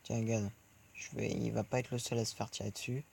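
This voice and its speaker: A man's voice: fairly low pitch, flat delivery, fairly quick, vocal fry, a little mellow, a little hiss or hum. A man giving rambling let's play commentary over his tank game, chatting to his viewers between shots. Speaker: gaming video creator.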